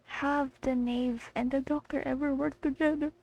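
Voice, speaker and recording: A woman's voice: high voice, fairly even intonation, moderate pace, a little mellow, poor audio. A woman chatting casually as she plays a game and reacts to the story. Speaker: game player streaming her playthrough. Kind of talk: casual gameplay commentary, reading some dialogue aloud.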